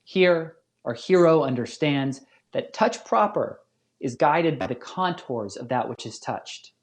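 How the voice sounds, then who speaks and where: A man giving a scripted academic lecture as a philosophy professor, in a measured, formal manner. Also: medium pitch, animated.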